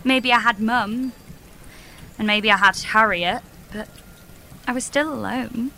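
A woman's voice: on the high side, slow, pushed and bright, slight background noise, exaggerated voice. An actress playing a wounded, exasperated daughter in her thirties in an audio comedy, bluntly pressing for answers. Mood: hurt, aggrieved. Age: 30s. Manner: blunt, insistent, aggrieved. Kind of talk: audio comedy drama acting.

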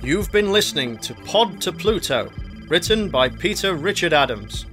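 A man with a slightly high voice delivers scripted credits as an announcer. Guitar music plays clearly behind him throughout. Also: lively intonation, normal speed. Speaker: announcer reading closing credits. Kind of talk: scripted narration.

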